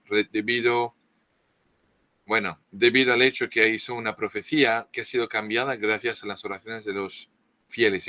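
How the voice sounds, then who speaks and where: French-accented man, bright low voice. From France. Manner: halting, many restarts and fillers. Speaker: guest author. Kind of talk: interview, lecturing.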